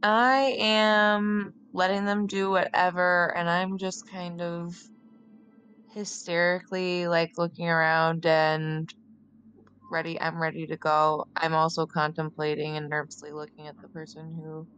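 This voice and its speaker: A woman's voice: lowish voice, flat delivery, measured pace, a bit bright, faint music behind. A woman chatting casually as a player in a tabletop roleplaying game. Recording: clearly noisy background.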